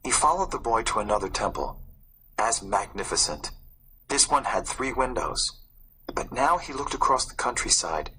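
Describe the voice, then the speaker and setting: A man reading a story aloud as a narrator, in a suspenseful, expressive way. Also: fairly low pitch, normal speed, breathy edge, poor audio. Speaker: audiobook narrator. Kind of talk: dramatic scripted storybook narration.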